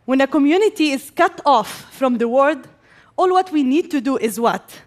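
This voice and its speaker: A young woman from Gaza giving a prepared speech to an audience, speaking as an English speaker with pauses between phrases. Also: high-pitched, lively intonation. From Gaza, Palestine. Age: young adult.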